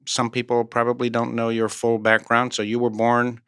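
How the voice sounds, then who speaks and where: Man, interview host, thoughtful questioning, medium pitch, dry studio-like sound. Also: completely flat delivery, moderate pace, fairly bright tone, smooth, dry recording. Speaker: podcast host, rabbi.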